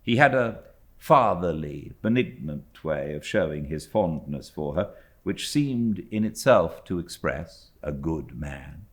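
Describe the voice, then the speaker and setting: Male British storybook narrator, deep register, highly animated intonation, measured literary delivery. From Britain. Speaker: audiobook narrator. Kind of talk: expressive literary storybook reading.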